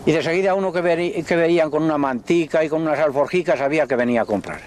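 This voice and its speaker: An older man, a livestock trader telling unhurried, folksy stories in a documentary interview. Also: fairly high pitch, darkish tone, slight background noise. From Spain. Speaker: horse and mule trader.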